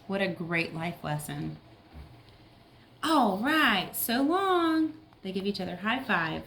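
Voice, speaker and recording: A woman's voice: medium pitch, big pitch swings, slow, creaky, a bit bright, slight background noise. A woman teacher reading a picture book aloud to young children, with simple explanations and check-in questions.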